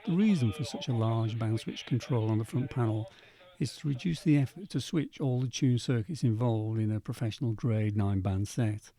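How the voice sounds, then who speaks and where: A man narrating an instructional equipment demonstration in a measured, methodical way. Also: medium pitch, warm tone. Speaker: presenter demonstrating vintage radio equipment.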